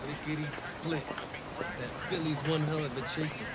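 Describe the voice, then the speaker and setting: An actor playing a chummy, pushy street betting hustler in a video game, with slangy, cajoling patter. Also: male voice, fairly high pitch, steady tone, normal speed, natural voice. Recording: loud street and vehicle noise.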